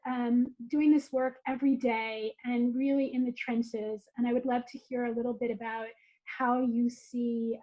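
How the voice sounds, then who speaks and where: A woman's voice: on the high side, muffled recording. A woman moderating a panel discussion in a conversational way, handing questions to the guests.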